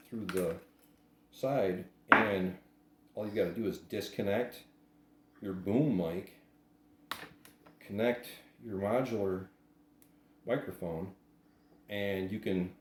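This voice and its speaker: A man giving a casual, unscripted hands-on product unboxing in a plain-spoken, hesitant way. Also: on the low side. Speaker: product reviewer doing an unboxing. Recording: roomy echo, faint background noise.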